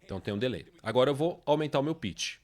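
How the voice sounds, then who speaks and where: A Brazilian man presents a software tutorial in an informal, explanatory way. He goes step by step at a mid pitch, often punctuating his statements with short checking tags. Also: animated, quick pace. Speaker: tutorial presenter, music production teacher.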